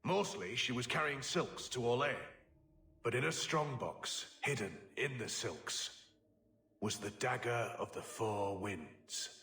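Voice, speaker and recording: Bright, echoing pirate crewman. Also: mid-range voice, slow, heavily acted. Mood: earnest storytelling. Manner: rustic sailor talk, excitable. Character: male pirate crewman turned to stone, pleading, excitable. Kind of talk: video game voice acting. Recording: roomy echo, distant from the mic.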